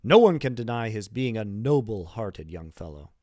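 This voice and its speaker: Anxious, soft-hearted common man for a storybook, played by a male reader: agitated, wavering, swinging pitch, dry sound. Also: big pitch swings, moderate pace, no echo. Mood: pitying.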